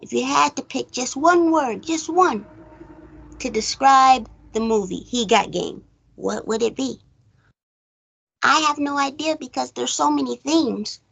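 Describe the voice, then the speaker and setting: A woman's voice: high-pitched, animated, measured pace, vocal fry, a bit bright, slightly rough. A woman hosting a casual movie review chat, speaking emphatically and with strong opinions, often repeating phrases for stress. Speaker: host of a movie review show.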